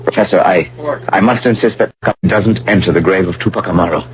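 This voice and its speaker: A man's voice: deep voice, quick pace, lightly acted. An actor playing a proud, protective young male fiancé of Inca descent in an old-fashioned radio drama, grave and insistent. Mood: urgent, insistent. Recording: dull, hissy old radio broadcast with hum.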